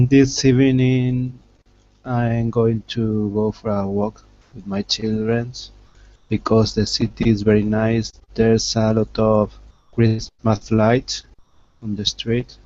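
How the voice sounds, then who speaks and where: A man learning English as a second language, giving halting conversational answers in class. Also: fairly low pitch, little pitch movement, mellow. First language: not English. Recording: dull, lo-fi online call.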